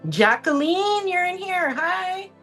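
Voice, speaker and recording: A woman's voice: very high voice, lively intonation, slow pace, a bit bright, faint music behind. Chatty woman hosting a video meetup. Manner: upbeat, chatty, talks about astrology. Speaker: meetup host. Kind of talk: casual group chat on a video call. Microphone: video call.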